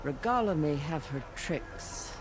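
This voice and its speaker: A man playing a stern, resolute war chaplain in a video game, giving clipped, deliberate commands. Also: on the high side, lively intonation, over music. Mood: resolute, commanding. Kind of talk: cinematic video game acting.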